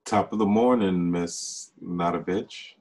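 A young man playing a smooth-talking online dating deceiver in a script read-through over a video call. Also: deep voice, takes it slowly, a little mellow, heavily acted. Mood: teasing, unapologetic. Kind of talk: actor reading a script at a table read.

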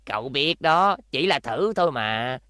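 A man's voice: mid-range voice, animated, a little slow, bright, forceful tone, dry recording, studio quality. A man dubbing a scheming middle-aged gang boss in a cartoon, talking in an oily, wheedling way.

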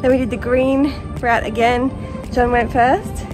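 A woman in her thirties chatting casually outdoors in a travel vlog, cheerful and quick to laugh, filmed on a phone at arm's length. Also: on the high side, fairly even intonation. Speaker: travel vlogger. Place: outdoors by a lake. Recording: music playing behind the voice.

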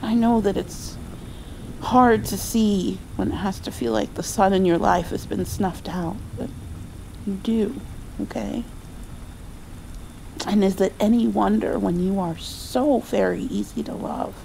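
A woman playing a doting, playful mother comforting her grown child in an intimate ASMR roleplay, softly and affectionately. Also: mid-range voice, fairly even intonation, vocal fry, a little hiss or hum, low-quality recording. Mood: tender, reassuring. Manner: soft, affectionate, slow with gentle laughs.

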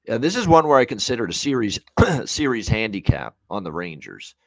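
A man's voice: lowish voice, very animated, fairly quick, no room sound. A man in his thirties giving conversational sports betting analysis from a room at home, building long, hedged, run-on points. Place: small room at home, on a video call.

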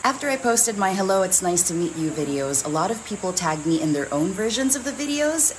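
A woman's voice: on the low side, slight background noise, rough recording. A young adult woman, a voice-over creator, talking casually to camera in a small room at home. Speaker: voice-over artist and video creator.

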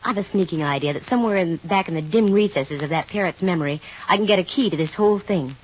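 An actress with an American accent playing a wry, self-assured private investigator in a 1950 radio drama, brisk and dry. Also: female voice, on the low side, close to an everyday voice. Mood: brisk, confident. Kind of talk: 1950 radio detective drama acting, with first-person narration. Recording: muffled vintage 1950 radio recording with music.

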